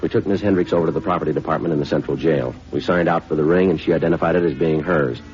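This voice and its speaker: Police detective, deep voice, rapid clipped delivery, deadpan, courteous, vintage radio drama. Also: male voice, music in the background. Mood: matter-of-fact. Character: terse, businesslike, patient male police detective sergeant. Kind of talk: 1950s radio drama acting and narration. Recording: dull vintage radio recording.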